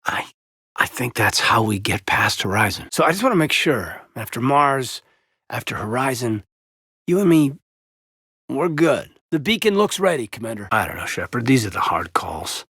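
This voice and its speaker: A loyal, wounded soldier in a cinematic game, speaking in a husky mid-range voice. His delivery is sincere and measured, with hesitant pauses and expressive swings in pitch. The sound is close and dry. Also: no echo, exaggerated voice. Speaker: video game character, military officer. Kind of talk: cinematic video game dialogue acting.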